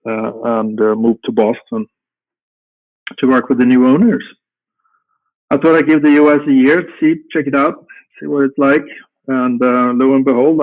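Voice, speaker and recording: A middle-aged man from Sweden with a Swedish accent, speaking English as a guest in an unhurried, halting way with many restarts. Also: medium pitch, no room sound. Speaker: guest, company founder. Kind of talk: casual interview answers. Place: home room at night. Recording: tinny phone-like call line.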